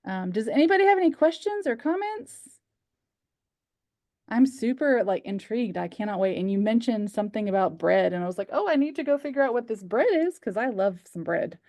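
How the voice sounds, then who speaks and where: A woman's voice: fairly high pitch, expressive, brisk, a little mellow, no room sound. A woman with an American accent hosting casually, with a chatty and enthusiastic way of talking. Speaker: host of a virtual culture club.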